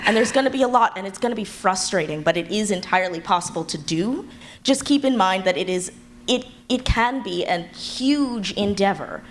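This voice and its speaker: A woman's voice: medium pitch, animated, moderate pace. A woman speaking as a panelist at a live event, giving candid, encouraging advice in casual talk. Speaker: audio drama creator, panelist. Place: live convention panel before an audience. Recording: live panel with background room noise.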